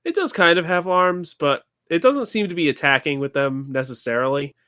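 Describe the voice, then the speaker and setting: A woman's voice: sits low, normal speed, fairly bright tone, dry recording, studio quality. A woman playing a tabletop game, bantering casually in a breezy, joking way.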